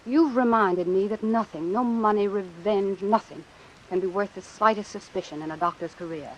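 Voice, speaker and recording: A woman's voice: mid-range voice, moderate pace, muffled recording, natural voice. An actress playing an embittered, ambitious woman doctor in an old-time radio suspense drama, speaking in a cool, clipped, sardonic way. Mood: bitter, resolute. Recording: hissy vintage radio recording with rain. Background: hiss and falling rain.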